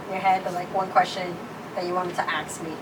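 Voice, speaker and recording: A woman's voice: on the low side, little pitch movement, a little fast. A young woman with a New York accent hosts a casual chat with a makeup artist over a card game, full of fillers and laughter. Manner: chatty, laughs often, fillers like "like". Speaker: host interviewing a makeup artist. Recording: distant mic with clear background noise.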